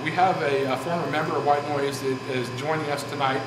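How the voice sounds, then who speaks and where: A man's voice: a little high, fairly even intonation, fairly quick. A man hosting a casual on-location interview in a bantering, joking way. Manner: jokey, bantering. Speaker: host of a paranormal investigation show. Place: inside an old saloon. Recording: echoey room with background noise.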